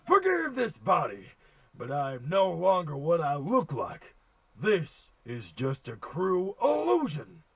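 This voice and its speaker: A man voicing an eccentric, grandiose inventor and mystic in a video game, raspy, creaky and theatrically verbose. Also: fairly high pitch, huge pitch swings, takes it slowly, no room sound, muffled recording, full character voice. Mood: eerie, cryptic. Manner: theatrical, verbose, bursts of emphatic exclamation. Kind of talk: video game character acting.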